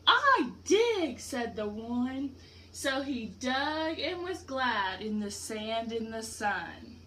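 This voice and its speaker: A woman teacher reads a picture book aloud in a high, lively voice. She goes slowly, pausing to explain things simply and question her pupils. There is some background noise. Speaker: teacher reading aloud to a class. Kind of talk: interactive children's picture-book read-aloud.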